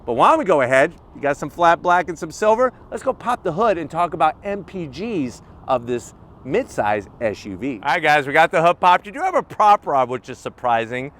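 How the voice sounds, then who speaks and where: A man's voice: a little high, very animated, a little fast, fairly bright tone. A man hosting a walkaround car review outdoors, talking to camera in a punchy, colloquial way with rhetorical questions and catchphrases. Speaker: car review video host.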